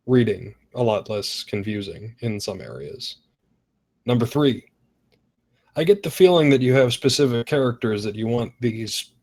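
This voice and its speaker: A man's voice: fairly low pitch, a little mellow. A man giving casual script feedback in an online group call, point by point, often searching for his words. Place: online voice chat.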